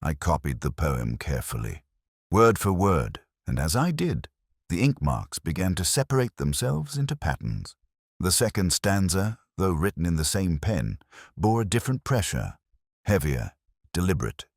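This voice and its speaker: Very deep-voiced man narrating a detective story. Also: wildly swooping pitch, creaky, slightly rough, dry recording, studio quality. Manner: measured, literary, reflective delivery. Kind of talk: scripted first-person narration, literary detective audio drama.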